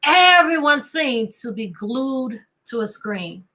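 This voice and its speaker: A woman with a high, bright voice leads an interactive read-aloud for young children. She sounds like an animated teacher, coaxing her listeners along and repeating her questions so they will respond. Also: slow. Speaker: teacher reading a picture book aloud.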